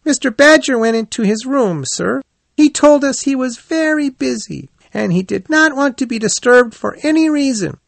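A man's voice: very high-pitched, animated, normal speed, creaky, no room sound, voice pushed up, heavily acted. A man voicing a polite, earnest young hedgehog child in a storybook, eager and deferential. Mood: polite, informative.

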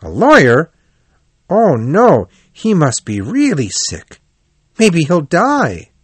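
A man voicing a cheerful, brisk male water rat in an animal storybook, with a bright, practical manner. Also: high voice, sing-song, no echo, pitched above the performer's own voice. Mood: worried.

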